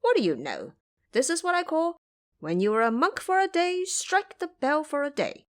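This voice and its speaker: A woman playing a brash, high-pitched monkey trickster. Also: big pitch swings, normal speed. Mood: mocking. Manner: brash, taunting. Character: cheeky, boastful, irreverent male monkey disciple.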